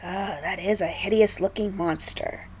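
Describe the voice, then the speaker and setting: A woman reading a children's story aloud in a deliberate, dramatic manner. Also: mid-range voice, steady tone, husky.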